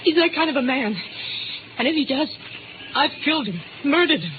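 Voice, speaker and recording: A woman's voice: high-pitched, lively intonation, brisk. An actress playing a guilt-ridden, panicky young woman in 1950s radio drama, faltering and anguished. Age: young adult. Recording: tinny, noisy vintage radio recording.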